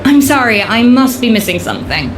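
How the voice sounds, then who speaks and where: High-voiced, reserved woman chef. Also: very animated, a little fast, faint music behind. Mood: puzzled, guarded. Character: reserved, slightly flustered former head chef, a proud woman. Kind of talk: video game voice acting. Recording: echoey room, faint noise.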